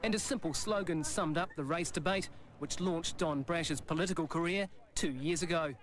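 Kiwi male reporter doing a news voiceover, fairly high voice. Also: normal speed, a bit bright. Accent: New Zealand. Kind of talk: scripted report narration.

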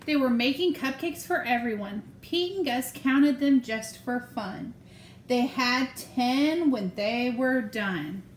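A woman with a high voice reads a picture book to young children. Her delivery is slow and deliberate, with expressive storytelling. The recording is roomy, like a phone in a room at home. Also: slight background noise. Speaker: elementary school staff member reading aloud. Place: small room at home, indoors.

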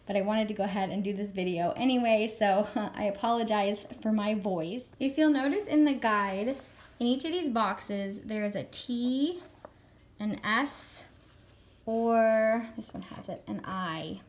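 A woman in her thirties with a slightly hoarse voice from a cold, chatting enthusiastically at home while showing homeschool books to a camera. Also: medium pitch, a little slow. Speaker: homeschool curriculum reviewer. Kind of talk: casual show-and-tell review. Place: home room with a world map.

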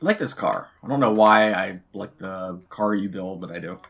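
A man with a hoarse voice from a cold, casually commenting on his gameplay as a let's play host, musing half to himself. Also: sits low, moderate pace. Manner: relaxed, musing half to himself with soft hums.

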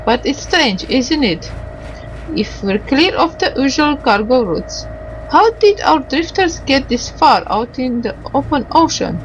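Slow, higher-pitched woman over background noise. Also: sing-song, highs cut off. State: tired. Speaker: game streamer doing a playthrough. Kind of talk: casual commentary and reading game dialogue aloud.

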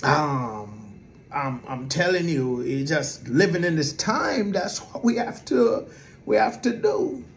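A soft-voiced man delivering a sermon, his pitch riding high and swinging widely. He takes his time, and he talks to the congregation in a chatty, easygoing way. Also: takes it slowly, vocal fry, slight background noise. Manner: lively, conversational preaching with fillers. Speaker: preacher.

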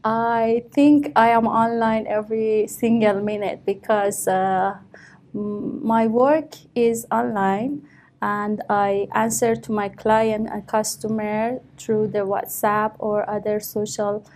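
A woman's voice: mid-range voice, level tone, slow, a little mellow. A woman in her thirties from Iran with an Iranian accent, answering exam questions with many fillers and restarts in a small office. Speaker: test candidate. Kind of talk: mock speaking exam answers.

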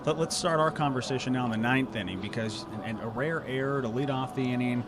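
A man's voice: mid-range voice, quick pace, background noise. A young man hosting a post-game interview at a ballpark, upbeat and polished as he runs through stats. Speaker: sports interviewer. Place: outdoor ballpark stands. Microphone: handheld mic. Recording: outdoor ballpark with crowd nearby.

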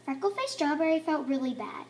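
A woman reading a children's storybook aloud in an expressive way, acting out the emphatic words. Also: high-pitched, fairly quick. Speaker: storybook reader.

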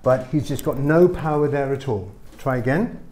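A middle-aged man explains a patient's treatment with lively, emphatic energy. His voice sits at an ordinary pitch for a man. He is recorded on a camera mic in a small clinic room. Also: normal speed. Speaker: chiropractor.

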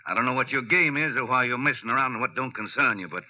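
A man's voice: mid-range voice, fast talker, pushed and bright. An American man playing a grim, threatening Western gambling boss with folksy tough talk, in 1940s radio acting style. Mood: menacing. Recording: muffled old-time radio recording.

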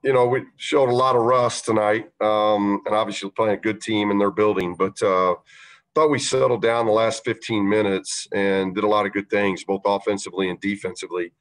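A man coaching a basketball team, answering reporters' questions after a game, measured and halting with many fillers. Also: fairly low pitch, steady tone. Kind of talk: post-game press conference answers.

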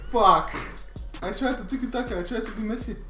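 A man playing a soccer game with a friend, excitably commentating and laughing as he goes. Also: high-pitched, fairly quick, soft background music. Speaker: gaming channel co-host. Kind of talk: casual gameplay commentary. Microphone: desk mic, no camera.

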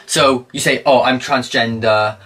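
A man's voice: mid-range voice, fairly even intonation, a little slow, a bit bright, husky. A young man doing sarcastic reaction commentary in a small room, filmed by a camera set back from him. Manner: mocking, sarcastic. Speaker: commentary youtuber. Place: small room by a blue wall.

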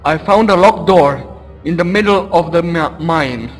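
A man from Romania talks through a horror game in a loud, excitable, joking way. His voice sits a little high, and it trails off into a long reverb. Faint game music plays underneath. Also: a little slow. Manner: loud, excitable, goofy taunting of game enemies. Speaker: let's play gamer. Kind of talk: casual gameplay commentary.

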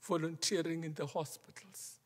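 A middle-aged male minister preaching a sermon from the pulpit, in a measured style that sometimes drops to a near whisper for emphasis. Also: fairly high pitch, steady tone. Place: large wooden church sanctuary, at the pulpit. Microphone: pulpit microphone. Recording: long church reverb.

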